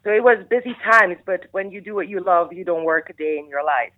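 A middle-aged woman from Belgium who speaks with a Flemish accent. She chats as a radio guest and often pauses on "uh" and "um". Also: on the low side. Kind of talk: casual interview chat. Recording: tinny phone line.

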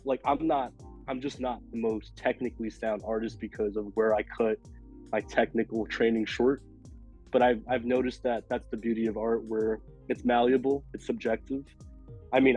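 A man with a raspy, hoarse voice from a cold, rambling casually as a podcast guest with many fillers. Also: medium pitch, darkish tone, low-quality recording. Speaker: podcast guest, food illustrator.

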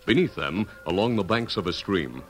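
A man's voice: low voice, expressive, moderate pace. A man reading scripted narration for a 1950s radio drama.

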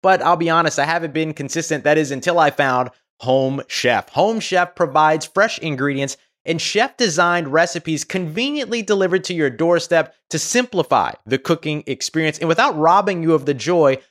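Slightly high-voiced man reading an ad. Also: lively intonation, moderate pace, hardly any pauses, a bit bright. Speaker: ad reader. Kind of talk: scripted podcast ad read.

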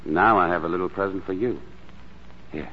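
An actor playing a wry, protective doctor with a dry, measured manner in a 1940s radio drama. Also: male voice, low voice, lightly acted. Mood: gentle. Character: wry, protective male doctor at a mountain sanatorium. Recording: muffled, hissy 1940s radio broadcast.

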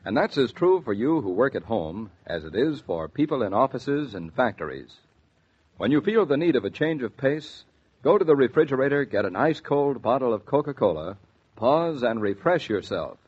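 1940s American commercial announcer, male, medium pitch, husky, scripted sales read with very varied intonation. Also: sing-song, normal speed, darkish tone. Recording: dull, lo-fi 1940s radio recording.

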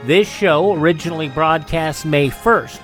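A man's voice: a little high, measured pace. Male radio host, conversational show introduction, faint music underneath.

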